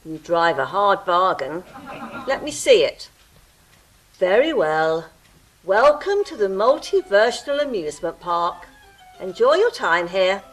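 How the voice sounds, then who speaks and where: A woman's voice: medium pitch, big pitch swings, slow pace, faint music behind, poor audio. A woman playing a fussy, pompous ticket-eating gatekeeper creature in an audio drama, with pedantic, theatrical delivery. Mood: wary, grudging. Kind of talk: stage-style audio drama acting.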